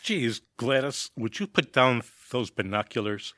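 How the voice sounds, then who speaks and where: A weary, dismissive husband in a comic radio play, voiced by a man. He grumbles very slowly with a sharp, dry sound. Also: medium pitch, animated, no room sound. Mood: exasperated. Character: weary, exasperated neighbour husband. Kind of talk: audio theatre comedy acting.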